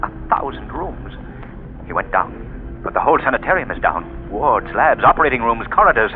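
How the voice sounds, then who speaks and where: An actor playing a mysterious, commanding male avenger in a 1930s radio drama, speaking in a stern, deliberate manner. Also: mid-range voice, sing-song, natural voice. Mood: urgent. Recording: tinny old 1930s radio recording with music.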